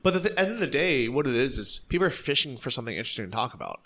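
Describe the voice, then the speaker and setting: A man's voice: medium pitch, very animated, very fast, bright, forceful tone. A man from the United States, hosting a casual conversation and telling stories bluntly and energetically, acting out quotes as he goes.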